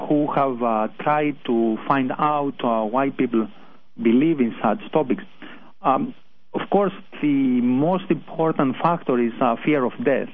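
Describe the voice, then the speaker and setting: A man with a Greek accent, a guest on a radio show, answering hesitantly with many pauses and restarts. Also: mid-range voice, vocal fry, slight background noise. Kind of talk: phone-in interview. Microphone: telephone. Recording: tinny phone line.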